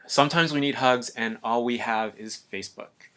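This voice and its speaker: A man giving a calm, earnest scripted voice-over narration for an explainer video. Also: mid-range voice, normal speed.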